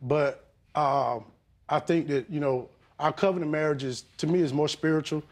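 A middle-aged man from the American South testifying in a TV courtroom, speaking haltingly with many pauses and fillers, respectful toward the judge. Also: on the high side, fairly even intonation. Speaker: litigant. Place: TV courtroom set.

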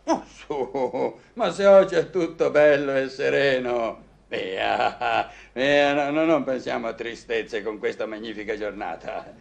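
An actor playing a bossy, hearty old card-playing friend, gravelly and coaxing, in an Italian radio play. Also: male voice, medium pitch, unhurried. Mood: cheerful, coaxing. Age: older.

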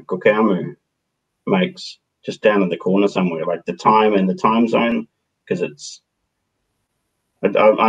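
A man in his thirties hosting a meeting over a headset on a video call, chatting in a relaxed way. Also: low voice, breathy edge. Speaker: meeting host. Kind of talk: casual video call discussion. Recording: dull lo-fi call audio.

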